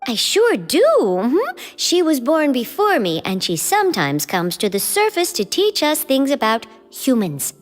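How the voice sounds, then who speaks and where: Calm polite creature girl, high lilting voice, reverb, soft game music. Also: measured pace, hardly any pauses, exaggerated voice. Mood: gentle. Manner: calm, matter-of-fact, politely curious. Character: polite gentle young non-human girl. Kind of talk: video game voice acting.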